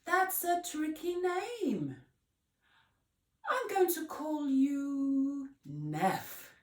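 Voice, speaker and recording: A woman's voice: sits high, big pitch swings, drawn-out words, pitched above the performer's own voice, exaggerated voice. A woman voicing a bossy, brash female band singer in a children's storybook, exclamatory and commanding. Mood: brisk, welcoming. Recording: roomy echo, distant microphone.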